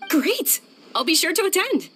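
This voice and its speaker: A woman playing a polite, gentle young noblewoman in a video game, soft-spoken, earnest and courteous. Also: extremely high pitch, very animated, moderate pace, a little hiss or hum, heavily acted. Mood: pleased. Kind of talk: video game dialogue acting.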